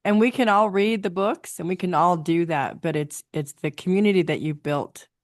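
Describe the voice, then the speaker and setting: A woman's voice: fairly low pitch, moderate pace, dry recording, studio quality. A woman hosting a podcast interview in a casual, admiring, effusive way.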